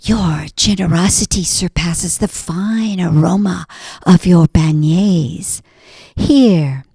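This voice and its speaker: A woman voicing a weary, mysterious man who speaks in a hushed, breathy way. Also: lowish voice, no echo, heavily acted. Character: mysterious weary male stranger.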